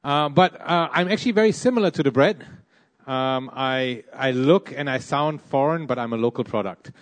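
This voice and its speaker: A man's voice: medium pitch, moderate pace. A young preacher from Malaysia delivers a sermon in a mid-range voice. He talks in hesitant phrases and reaches often for "uh" and "um" as he works through his points. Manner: hesitant, many "uh" and "um" fillers.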